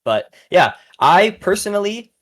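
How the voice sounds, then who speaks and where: A man's voice: high-pitched, sing-song, unhurried, a bit bright. A man hosting a podcast, giving casual, informal commentary and sounding enthusiastic about competitors. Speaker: podcast host.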